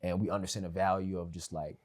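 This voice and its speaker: Laid-back young man, very low voice. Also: quick pace. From family of West Indian background. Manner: relaxed, rambling, many fillers and restarts. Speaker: podcast guest. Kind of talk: casual podcast conversation.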